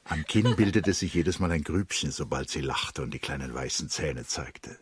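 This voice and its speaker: A man giving measured, scripted literary narration for a radio play. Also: very deep voice, animated, slightly rough.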